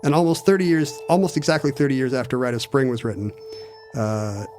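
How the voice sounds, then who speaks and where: Podcast guy cracking jokes and telling history bits, mid pitch, little music behind. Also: lively intonation, a little mellow. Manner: quick wisecracks, chatty recounting. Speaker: podcast co-host. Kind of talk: casual chat, storytelling.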